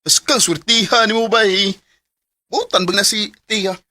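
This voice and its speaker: A man's voice: high-pitched, a little slow. A young man playing a teasing, encouraging best friend in an amateur radio drama.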